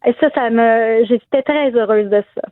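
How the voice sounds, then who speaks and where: A woman's voice: a little high, moderate pace, dry recording. A woman singer from Quebec with a Saguenay Québécois accent, answering interview questions in a friendly, hesitant way with many fillers. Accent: Saguenay Québécois French. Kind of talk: casual radio interview. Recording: tinny phone line.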